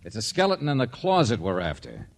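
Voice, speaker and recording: An actor playing a confident, wry private investigator with a brisk, commanding manner in an old radio drama. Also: male voice, fairly low pitch, huge pitch swings, heavy background noise. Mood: assertive. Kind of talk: 1940s-50s radio detective drama acting.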